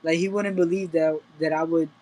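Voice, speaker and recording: A young man, a boxer answering interview questions casually. His voice sits a little high and stays very even in pitch. He is plain-spoken and colloquial. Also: a little fast, slight background noise. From Puerto Rico until age eight, then the United States. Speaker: professional boxer, interview guest.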